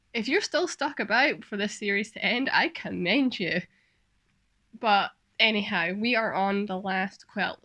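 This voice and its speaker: A woman's voice: medium pitch, pushed and bright. A Scottish woman hosting a sewing vlog, chatting and narrating casually. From Scotland.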